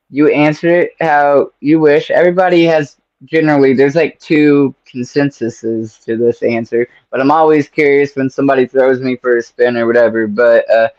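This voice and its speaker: Laid-back slangy man hosting, lo-fi sound. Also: a little high. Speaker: interview host. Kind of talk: casual podcast interview. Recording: dull lo-fi sound.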